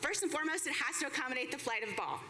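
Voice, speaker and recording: A woman's voice: sits high, animated, very fast, pushed and bright. A woman giving a prepared presentation as a team representative, with an enthusiastic, conversational manner and informal asides. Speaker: baseball team representative presenting at a press conference. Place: indoor press conference room before an audience. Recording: live room with clear background noise.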